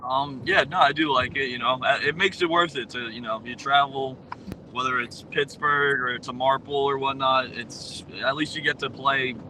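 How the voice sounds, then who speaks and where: A man's voice: medium pitch, noisy background. A man in his late twenties, a guest on a podcast, answering in a hesitant way with many ums and you knows. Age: 20s. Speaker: guest, pro roller hockey player. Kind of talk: casual interview chat.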